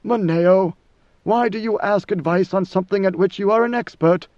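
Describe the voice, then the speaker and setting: A man voicing a cold, commanding ancient ruler in a measured, lofty way. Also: high-pitched, darkish tone, no echo, dull audio, natural voice. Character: ancient imperious male god-emperor, coldly commanding.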